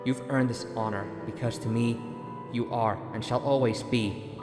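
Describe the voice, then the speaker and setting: A man's voice: mid-range voice, steady tone, moderate pace, music in the background, natural voice. A man playing a shy, earnest young former student, full of gratitude, in a dramatic audio story reading. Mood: heartfelt.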